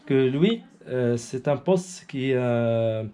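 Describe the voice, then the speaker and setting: A man's voice: medium pitch, lively intonation, very slow, warm tone, clear, resonant tone. A man from Morocco, an amateur radio operator giving an informal technical demonstration while searching for words.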